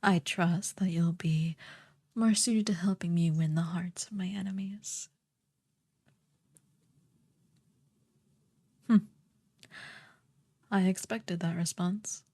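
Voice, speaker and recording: Woman acting a slow, breathy, imperious evil queen. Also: fairly low pitch, fairly even intonation. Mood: smug, threatening. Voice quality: soft, breathy, partly whispered. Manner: slow, condescending, commanding. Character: imperious evil sorceress queen, haughty, menacing and ruthless. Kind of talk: ASMR fantasy roleplay acting.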